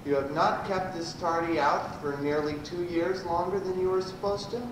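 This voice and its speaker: Man acting a halting, pompous prosecutor, echoey and distant. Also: high-pitched, steady tone, natural voice. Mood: accusing. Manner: stumbling, halting delivery. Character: pompous, badgering male prosecuting lawyer in sci-fi parody. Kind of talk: amateur old-time radio comedy acting. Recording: roomy, distant, noisy amateur live recording.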